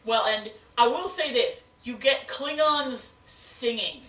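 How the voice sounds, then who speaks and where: Roomy, distant American woman, higher-pitched and conversational. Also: takes it slowly, a bit bright. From United States. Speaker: podcast co-host. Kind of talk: casual review chat. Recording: roomy, distant mic with slight noise.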